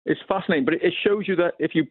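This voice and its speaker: A man's voice: high-pitched, very fast, dry recording. A Welsh man, an author guest on a radio phone-in, talking enthusiastically with many hesitations and repeated words. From Wales. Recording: tinny phone line.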